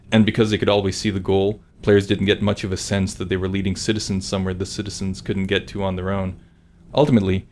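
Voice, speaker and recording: Male game developer, scripted commentary read, very low and level in pitch. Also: darkish tone.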